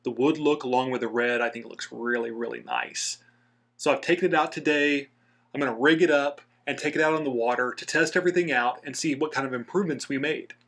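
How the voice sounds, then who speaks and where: A man's voice: mid-range voice, fairly even intonation, a little fast, fairly bright tone. A man narrating a scripted how-to voice-over as the host of a DIY outdoors channel.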